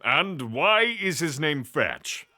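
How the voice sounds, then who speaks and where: A haughty god of war with a bright, forceful voice. His pitch swoops wildly and he stretches his words with pompous theatrical relish, as in a fantasy audio drama. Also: very animated, takes it slowly, exaggerated voice. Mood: haughty, amused. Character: flamboyant, haughty god of war, doting on pets.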